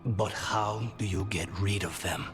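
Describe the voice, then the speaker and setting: An actor plays a weary, dry male assassin in a fantasy game. His delivery is slow, understated and fairly low, with clear music behind. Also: steady tone, lightly acted. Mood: grim, resigned.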